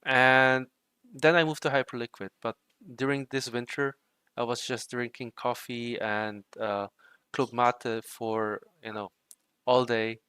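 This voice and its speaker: A man's voice: medium pitch, measured pace, clear, resonant tone, no room sound. A young man from the Czech Republic chatting casually on a livestream while he codes, rambling with lots of "like" and "right". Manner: relaxed, rambling, frequent fillers like "like" and "right". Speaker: livestream host and trading bot developer.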